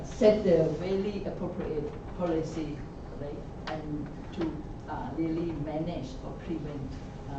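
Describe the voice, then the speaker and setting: A woman with a lowish voice speaks on a conference panel, explaining at length and checking in with "right?" as she goes. She sounds far from the mic in a very echoey room, and the sound is muffled, with a steady hum of background noise behind her. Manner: rambling explanation that keeps asking "right?". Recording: echoey, dull lecture-hall sound from a distant mic.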